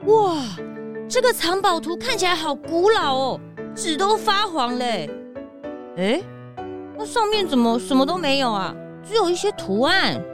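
A woman's voice: very high voice, sing-song, measured pace, music in the background, heavily acted. A woman voicing a naive, curious and chatty young detective's sidekick in a children's audio drama, childlike in manner. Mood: curious, puzzled. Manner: childlike, blurting questions.

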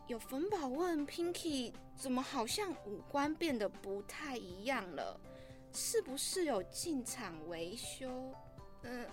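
Bubbly, vain young livestream saleswoman played by a woman, radio drama; high, bright, cutesy and gushing, music underneath. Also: exaggerated voice.

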